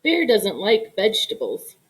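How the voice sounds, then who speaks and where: A woman's voice: medium pitch, huge pitch swings, a little slow, poor audio. A woman voicing a curious, eager small boy who interjects questions in a storybook reading. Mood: curious, surprised. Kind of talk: storybook read-aloud character.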